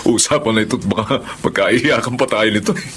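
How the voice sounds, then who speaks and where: A man's voice: on the low side, a little fast, fairly bright tone, a little hiss or hum, close to an everyday voice. A man playing a doting, jovial sixty-year-old Filipino stepfather in a radio drama, with an affectionate, exclamatory manner. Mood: tender, earnest. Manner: exclamatory, affectionate, chuckling asides.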